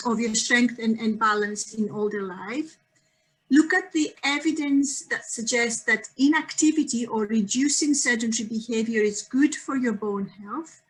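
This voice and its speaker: Greek lady giving a zoom talk, kinda high voice, lots of ums and restarts. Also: fairly bright tone, poor audio. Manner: friendly, many ums and restarts. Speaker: lecturer. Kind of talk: online lecture on health. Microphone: video call.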